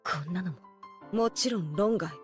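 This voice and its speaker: A woman's voice: lowish voice, quick pace, lightly acted. An actress playing a tough-talking, blunt and defiant young woman heir in a Japanese visual novel. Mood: resolute. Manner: blunt, rough-spoken, emphatic. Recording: game audio with music.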